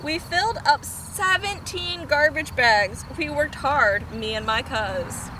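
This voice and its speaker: A woman reading a children's picture book aloud in an expressive storytime style. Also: high-pitched, slow pace. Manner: expressive, animated. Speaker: storytime reader. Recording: noisy outdoor camera recording.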